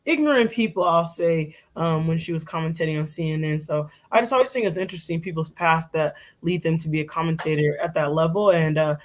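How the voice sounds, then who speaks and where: A woman's voice: low-pitched, a little fast, hardly any pauses. A young woman co-hosting a podcast, giving a casual, chatty rundown full of fillers and restarts. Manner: chatty, filler-heavy, self-correcting. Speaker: podcast co-host. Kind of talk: casual presentation.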